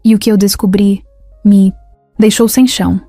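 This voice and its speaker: A woman's voice: mid-range voice, fairly even intonation, moderate pace, warm tone, no room sound, studio quality, soft background music, lightly acted. A woman voicing a grateful, earnest male company boss who speaks sincerely.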